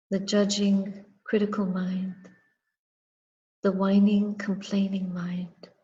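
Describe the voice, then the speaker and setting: A woman, a Buddhist meditation teacher, giving a guided reflection in a contemplative, measured manner, in short phrases with long pauses. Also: medium pitch, warm tone. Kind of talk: guided meditation and dharma talk. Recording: muffled recording with long reverberant echo.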